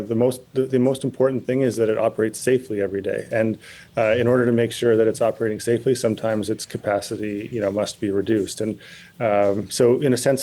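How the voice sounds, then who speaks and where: A man answering in a news interview as a pilots' representative, speaking in a careful, measured, halting and diplomatic way. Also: on the low side, dark tone. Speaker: pilot and pilots' association president, interview guest.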